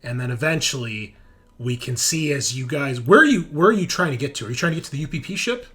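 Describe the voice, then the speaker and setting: A man's voice: medium pitch, expressive, fast, fairly bright tone. A man running a sci-fi horror tabletop game as game master, narrating scenes descriptively and swearing casually. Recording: faint background music.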